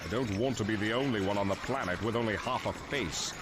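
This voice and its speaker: A man's voice: fairly low pitch, fast talker, background noise, close to an everyday voice. A man voicing a mournful, disfigured outcast, earnest and plaintive, in a game cutscene. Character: mournful disfigured male outcast longing for normality.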